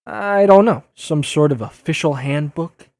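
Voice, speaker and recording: Man playing a high-voiced, wisecracking young superhero. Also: measured pace, darkish tone, no room sound, lightly acted. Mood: defensive, sarcastic. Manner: sarcastic, flustered, indignant. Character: wisecracking, exasperated young male masked superhero. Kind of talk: audio drama dub acting.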